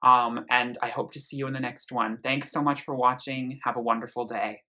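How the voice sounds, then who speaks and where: A man giving a casual, enthusiastic album review on his vlog, talking in a chatty way and often pausing to rephrase himself. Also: mid-range voice, fairly even intonation, sharp tone. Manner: chatty, frequent fillers and restarts; enthusiastic, gushing. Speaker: music reviewer.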